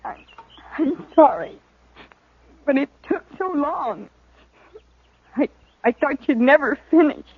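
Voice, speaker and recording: An actress playing a worried young daughter on the frontier, speaking in halting, stammering bursts in a radio drama. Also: female voice, sits high, very animated, full character voice. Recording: tinny vintage radio broadcast.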